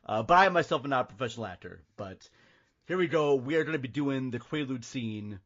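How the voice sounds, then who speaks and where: A man hosting a podcast, chatting casually about films in a rambling, halting way. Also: mid-range voice, animated, fairly bright tone. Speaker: podcast host. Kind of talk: casual film discussion. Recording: slightly muffled audio.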